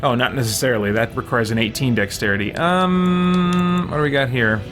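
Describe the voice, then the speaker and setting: A man's voice: mid-range voice, normal speed. A man hosting a gaming stream, chatting casually and thinking aloud as he plays and answers viewers. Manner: thinking aloud, musing to himself. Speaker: host of a gaming let's play stream. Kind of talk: casual live gameplay commentary, answering viewer questions. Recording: game music behind the voice. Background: video game music.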